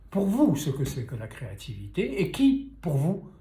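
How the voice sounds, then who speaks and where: An older man tells a story to his viewers with a warm, mellow voice. He talks in a loose, chatty way full of wry asides and direct questions. His voice echoes around the room. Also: a little high, wildly swooping pitch, moderate pace. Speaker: painter and sculptor. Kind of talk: storytelling talk to camera.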